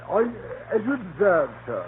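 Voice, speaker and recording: Deferential butler, dry formal manner, slightly high voice, tinny old radio drama. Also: male voice, very animated, normal speed. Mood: deferential, composed. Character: unflappable, deferential English butler. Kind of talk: 1930s radio acting. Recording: noisy, tinny 1930s radio broadcast.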